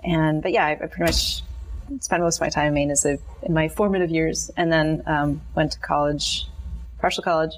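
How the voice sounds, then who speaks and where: A woman's voice: low-pitched, steady tone, a little fast, low-quality recording. A woman from New England with an American accent, speaking as a guest artist in a casual chat. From New England, United States.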